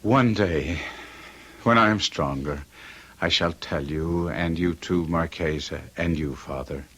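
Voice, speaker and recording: An actor playing a tormented young gentleman in an old-time radio drama, with intense, theatrical and formal archaic delivery. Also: low-pitched, slow pace, heavily acted. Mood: grave. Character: Guido, tormented young gentleman recounting a duel with a monster.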